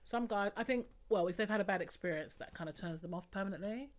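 A woman's voice: medium pitch, fast talker. A woman co-hosting a podcast, talking frankly and bluntly in casual chat. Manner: frank, outspoken, laughs easily. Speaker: podcast co-host.

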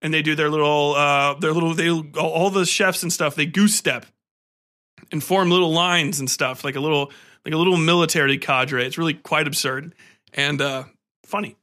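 A man podcast host giving a casual, rambling film review, enthusiastic and profane, often halting and repeating himself. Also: on the high side. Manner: animated, enthusiastic; profane, slangy.

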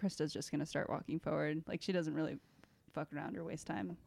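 A woman playing a tabletop game, hesitant and halting, describing her character with many fillers. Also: low-pitched, fairly even intonation, creaky, darkish tone, dry recording. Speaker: player. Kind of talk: casual chat, describing a character.

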